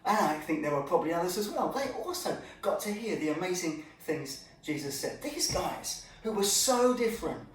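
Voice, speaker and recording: A man teaching a Bible story to children in an informal, animated way, with asides and exclamations to his young listeners. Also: sits high, normal speed, hardly any pauses. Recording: echoey room, distant microphone.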